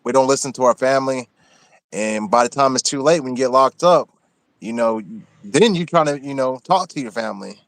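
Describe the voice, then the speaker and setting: A man hosting the interview, calmly asking short questions and reflecting on the answers. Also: medium pitch, brisk, no echo.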